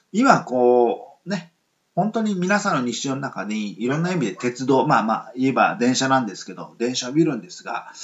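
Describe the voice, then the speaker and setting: A man hosting a casual late-night radio talk show, speaking with many fillers. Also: highs cut off. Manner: lots of filler words, lively rising pitch when excited.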